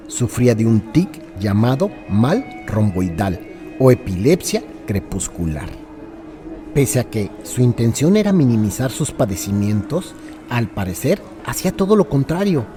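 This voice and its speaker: A man narrates a scripted true-crime radio serial with emphatic, theatrical storytelling phrasing. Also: medium pitch. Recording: music bed under the voice. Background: music.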